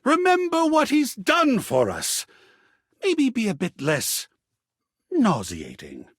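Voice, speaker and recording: An actor playing a flamboyant, seductive living work of art in a video game, theatrical and affectionate, with breathy husky endearments. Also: male voice, very high voice, wildly swooping pitch, a bit bright, no echo, clean studio sound, exaggerated voice. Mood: chiding. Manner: theatrical, drawn-out purring endearments with sudden exclamations. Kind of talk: theatrical game character acting.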